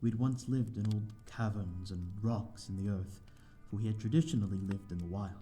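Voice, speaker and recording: A man's voice: fairly low pitch, normal speed, dark tone, soft background music, close to an everyday voice. A man playing a courteous, lonely vampire of an old manor in an ASMR audio roleplay, speaking in hesitant, formal, old-fashioned phrases. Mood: wistful, reflective. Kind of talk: slow ASMR audio roleplay, addressing the listener.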